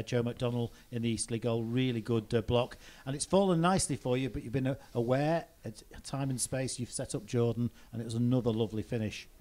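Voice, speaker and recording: A man's voice: medium pitch, dark tone. Man interviewing a footballer after a match. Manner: summarising play, hesitant fillers. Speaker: club TV interviewer. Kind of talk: post-match interview questions.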